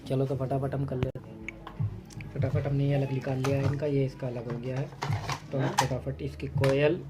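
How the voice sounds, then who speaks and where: A man hosting a repair tutorial, talking through each step at his workbench, briskly and with instructive repetition. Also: mid-range voice, flat delivery, very noisy. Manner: brisk, instructive, repeats "fatafat" and "theek hai". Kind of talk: hands-on repair demonstration.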